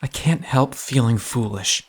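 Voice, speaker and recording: A man playing a wounded, self-doubting young man in an audio drama, speaking hesitantly and confessionally in a breathy, husky voice. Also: medium pitch, a little slow, lightly acted. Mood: pained, guilty.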